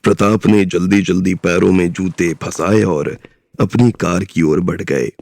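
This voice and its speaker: A man tells the story in a slow, measured voice. His tone sits very low and has a gravelly rasp. Soft background music plays under the narration. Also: no echo.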